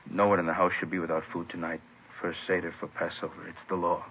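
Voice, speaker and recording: A man's voice: low-pitched, little pitch movement, quick pace, breathy edge. An actor playing a courteous, troubled professor and father, speaking politely and formally in an old radio drama. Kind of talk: 1950s radio drama acting. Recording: muffled, noisy old radio recording.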